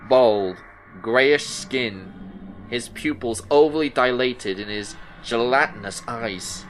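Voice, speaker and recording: A man playing a troubled detective who narrates an eerie horror audio drama in the first person, in a measured, brooding way. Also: mid-range voice, slight background noise, natural voice, poor audio. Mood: uneasy, observant. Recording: music under the voice. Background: music soundtrack.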